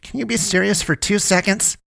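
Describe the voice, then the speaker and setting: A man's voice: fairly high pitch, quick pace, no echo, clean studio sound, voice pushed up, lightly acted. A man voicing an angry, distressed young woman in a story, with a snappy, whiny, emphatic delivery. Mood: exasperated.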